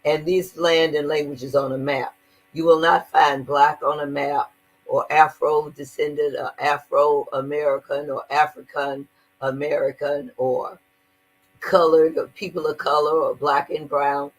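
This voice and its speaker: Husky, earnest older woman. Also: low voice, level tone, unhurried, rough recording. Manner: earnest, emphatic, repeats words when stressing a point. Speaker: guest activist. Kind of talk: conversational interview.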